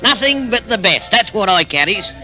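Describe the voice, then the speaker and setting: An actor playing an oily, chatty barkeeper in 1950s radio drama. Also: male voice, sits high, big pitch swings, normal speed, pushed and bright, exaggerated voice, low-quality recording. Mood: obliging, oily. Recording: old radio drama, music behind.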